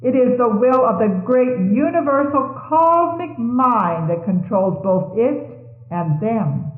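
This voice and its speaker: A woman reading religious messages aloud in a solemn, measured and formal manner, on a 1970s tape. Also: fairly high pitch, expressive. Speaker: religious reader. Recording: 1970s tape, thin phone-like band, echoey room.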